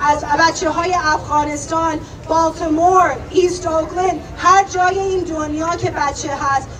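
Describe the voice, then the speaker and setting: A woman's voice: very high voice, distant mic. A young woman giving an impassioned, emphatic protest speech outdoors, repeating phrases for emphasis. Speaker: rally speaker. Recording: crowded noisy outdoor rally, loud street noise. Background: crowd and vehicle noise.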